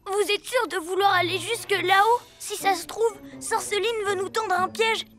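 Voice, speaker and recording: Very high-pitched cautious boy hero. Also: steady tone, normal speed, a bit bright. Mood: worried. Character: cautious, worried young boy superhero, loyal and eager. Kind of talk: French animated series dubbing. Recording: music bed behind the voice. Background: cartoon music.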